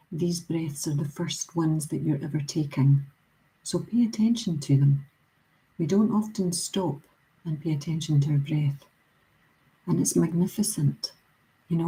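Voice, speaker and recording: A woman with a low, very mellow and dark voice leads a guided breathing meditation. Her tone is breathy and husky throughout, and she talks in calm, soothing, gently repeated instructions. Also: rough recording.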